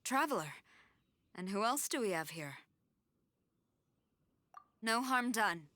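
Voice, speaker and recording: A woman playing a formal, courteous samurai general in a game, speaking in a measured, authoritative way. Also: medium pitch, lively intonation, bright, forceful tone, no room sound. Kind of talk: animated game dialogue.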